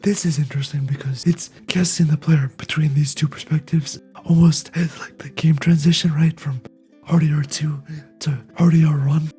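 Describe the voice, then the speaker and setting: A host with a raspy, quiet, fragile voice, talking through a game scene in a soft near-whisper with frequent "right?" asides. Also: medium pitch, fairly even intonation, moderate pace, faint music behind. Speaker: video essay host reacting to a game scene. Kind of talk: casual spoken analysis.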